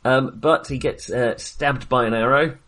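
A man's voice: medium pitch, steady tone, measured pace, bright, forceful tone. A man co-hosting a film podcast in casual conversation, with a hesitant, rambling and wry way of talking.

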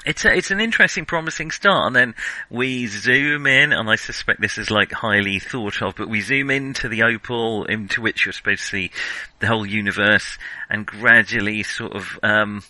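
Man, podcast host, very bright forceful tone, dry sound, casual film chat, wry, hesitant, rambling. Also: on the low side, moderate pace, dry recording, poor audio.

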